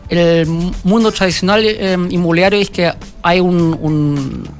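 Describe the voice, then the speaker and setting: A man from Germany with a German accent, a company founder answering questions in a radio interview, halting and full of fillers and restarts. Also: high voice, steady tone, soft background music. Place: radio studio.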